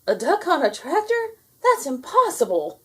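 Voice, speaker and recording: An astonished storybook grandma, voiced by a woman reader, speaks very high. Her delivery is dramatic and full of disbelief. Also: huge pitch swings, normal speed. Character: astonished grandmother in a small-town storybook diner.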